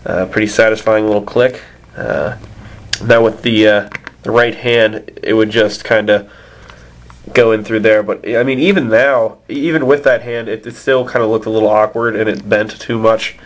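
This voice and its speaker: A man's voice: fairly low pitch, fairly even intonation, moderate pace. A man with a stuffed-up nose from a cold, casually reviewing an action figure with many fillers and restarts. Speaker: toy reviewer.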